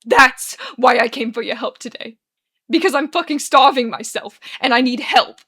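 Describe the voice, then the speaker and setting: A woman's voice: fairly high pitch, huge pitch swings, fairly quick, gravelly, sharp tone, husky, exaggerated voice. A woman voicing an anguished, furious young man in a dramatic story, with explosive, wailing outbursts and cursing. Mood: desperate shouting.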